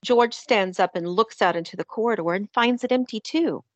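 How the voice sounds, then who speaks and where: A woman's voice: mid-range voice, moderate pace, no echo, dull audio. A woman narrating a scripted audio drama in a descriptive storytelling manner.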